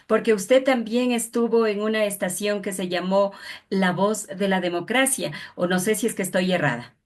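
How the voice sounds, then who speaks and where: A woman's voice: mid-range voice, moderate pace. A woman with an Ecuadorian accent presenting an interview programme in a formal, courteous manner. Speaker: radio programme host and interviewer.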